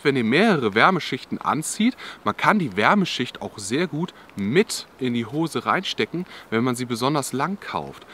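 A man's voice: medium pitch, slight background noise. A young man explaining outdoor clothing layers to the camera in a drizzly forest, in a casual, explanatory way. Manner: explanatory, lively, casual with filler "ja". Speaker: outdoor channel host.

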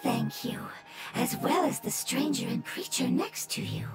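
A woman voicing a polite, scholarly talking mushroom creature in a video game, breathy and whispery, with formal, literal, precise phrasing. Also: high-pitched, expressive, measured pace. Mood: grateful awe. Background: loud music.

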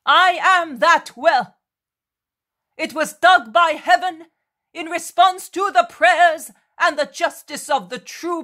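A woman acts out a righteous male prophet in an audiobook story. The voice is raised high and the words come slowly, each phrase declaimed with stern, commanding emphasis. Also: a bit bright, heavily acted.

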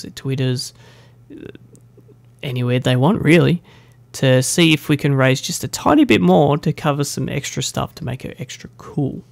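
A young man talks casually straight to the camera, rambling and poking fun at himself. His voice has a gravelly edge. The sound is close and dry, with no room echo. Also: mid-range voice. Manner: chatty and self-deprecating, with fillers. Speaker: meetup organiser. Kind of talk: casual vlog announcement.

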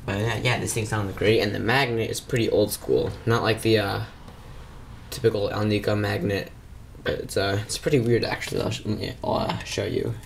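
A man giving a casual, unscripted hobbyist show-and-tell, with halting delivery and frequent pauses. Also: lowish voice, steady tone, gravelly. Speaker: hobbyist vlogger showing vintage speakers. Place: small indoor room at a workbench. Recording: noisy camera mic, audible background noise.

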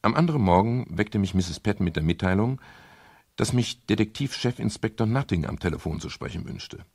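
Low, gravelly, wry private detective. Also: male voice, animated, brisk, darkish tone, soft background music. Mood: brooding narration. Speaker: actor in a radio crime play. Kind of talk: 1970s German radio crime drama, first-person narration and dialogue.

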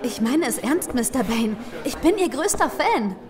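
A woman's voice: high-pitched, big pitch swings, normal speed, crowd noise. An actress playing a gushing, easily flustered young female superfan in an audio drama, speaking eagerly. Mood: adoring. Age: young adult. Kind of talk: radio play dialogue.

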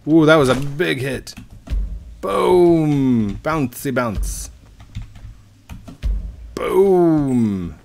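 A man's voice: fairly high pitch, lively intonation, very slow, vocal fry, pauses often, darkish tone, soft background music. Chatty man in his thirties, headset. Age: 30s. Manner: rambling, self-mocking, chatty. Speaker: gaming YouTuber. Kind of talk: casual gameplay commentary. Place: home room at a desk.

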